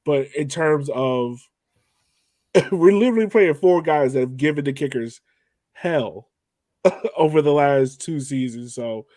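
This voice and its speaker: A man's voice: on the high side, a little slow, long pauses, mellow, no echo, studio quality. A man co-hosting a soccer fan podcast, talking casually and informally about games in an upbeat way. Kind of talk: casual game-analysis chat.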